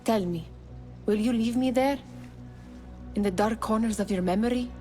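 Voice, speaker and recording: A woman's voice: fairly high pitch, normal speed, dark tone, faint music behind, close to an everyday voice. An actress playing an insistent, taunting woman from someone's past in a video game, probing and intense. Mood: taunting, pleading. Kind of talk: dramatic video game acting.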